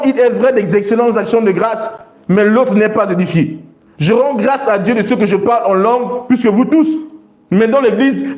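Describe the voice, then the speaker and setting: A man's voice: very high-pitched, a little mellow. A man preaching a sermon, reading and explaining scripture emphatically and repeating phrases for stress. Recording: echoey room sound.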